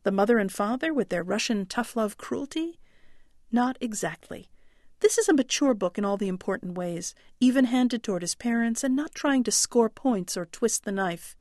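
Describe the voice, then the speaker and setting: A woman's voice: on the high side, lively intonation, moderate pace, a little mellow, dry recording, clean studio sound. A woman book critic delivering a scripted radio review in a wry, articulate storytelling tone.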